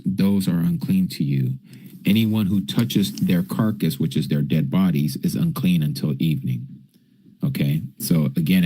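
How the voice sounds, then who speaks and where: Man, low voice, husky, livestream bible teacher, casual q and a, keeps asking "okay?". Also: mellow, dry recording, low-quality recording. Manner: explains with frequent "okay?" checks. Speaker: livestream Bible teacher answering viewer questions.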